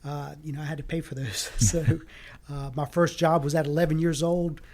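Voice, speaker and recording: A man raised in the American South whose first language was Greek, talking earnestly in a casual interview, with many halting fillers. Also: a little high, fairly even intonation, darkish tone. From Fayetteville, North Carolina. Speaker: city council candidate.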